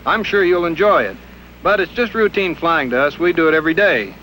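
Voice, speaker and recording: Bright-voiced young American man, polite and earnest. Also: fairly high pitch, animated, a little fast, slight background noise, poor audio. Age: young adult. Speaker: military pilot. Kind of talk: stiff, rehearsed on-camera interview.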